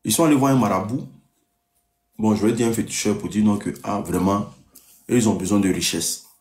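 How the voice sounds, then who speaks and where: A man telling a story on a live stream, deliberately repeating his sentences and checking that listeners follow. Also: fairly low pitch, a little mellow. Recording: echoey live-stream room sound.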